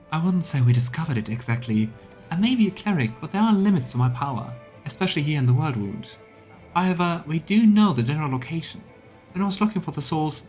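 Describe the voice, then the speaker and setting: Earnest fighting cleric from a fantasy game, played by a man, lifted pitch, resolute and emphatic, faint music behind. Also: natural voice. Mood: solemn. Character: earnest, determined battle-ready cleric reporting to a commander. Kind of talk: dramatic reading of game dialogue.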